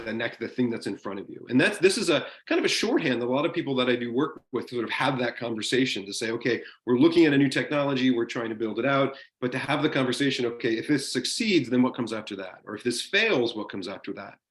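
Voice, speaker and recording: Man lecturing, mid pitch, animated delivery, roomy video-call sound. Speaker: guest speaker in a university seminar.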